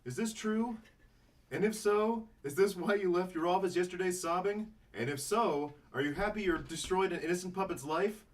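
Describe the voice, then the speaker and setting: A man reading a fast-talking male news reporter who hammers out questions. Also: sits high, recorded from a distance, close to an everyday voice. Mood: badgering.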